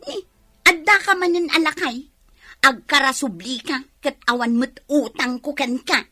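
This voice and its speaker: Radio drama daughter: Ilocano accent, high-pitched, curt, defiant, dry sound. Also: female voice, measured pace, bright, forceful tone, no room sound, faint music behind. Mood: irritated, defiant. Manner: curt, dismissive. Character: defiant, irritable daughter who refuses to pay her debt.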